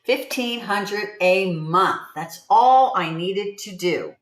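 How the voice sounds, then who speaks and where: A woman's voice: medium pitch, animated, slow pace. A woman in her seventies talking casually to livestream viewers in a chatty, rambling way, repeating key phrases. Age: 70s. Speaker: livestream host promoting online income. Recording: roomy, echoey sound.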